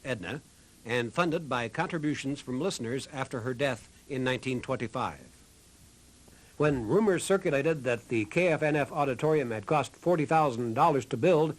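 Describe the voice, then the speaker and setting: A man's voice: medium pitch, poor audio. A man reading a scripted historical documentary narration. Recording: narration over background music. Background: music.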